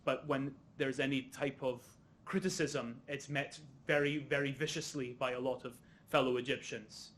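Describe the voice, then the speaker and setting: A young man with a slight Scottish accent moderating a panel discussion, speaking in measured, formal phrasing with repeated intensifiers. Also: medium pitch, steady tone, fairly bright tone. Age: young adult.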